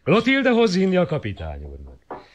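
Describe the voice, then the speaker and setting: Dignified councillor, expressive intonation. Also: male voice, high-pitched, muffled recording, a crowd in the background. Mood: approving. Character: dignified, benevolent middle-aged councillor father. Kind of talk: 1980 radio drama acting.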